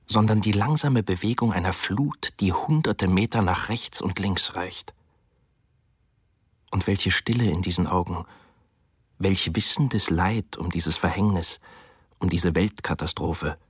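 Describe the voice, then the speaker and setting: An actor reading the diary of a shaken war reporter for a radio drama, solemn and deliberate. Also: male voice, on the low side, a bit bright, breathy edge, no room sound, clean studio sound, exaggerated voice.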